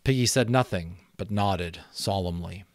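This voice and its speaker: A man hosting and narrating a book aloud, theatrical and expressive, with casual asides. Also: low-pitched, a little slow, no room sound. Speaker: audiobook reader and host.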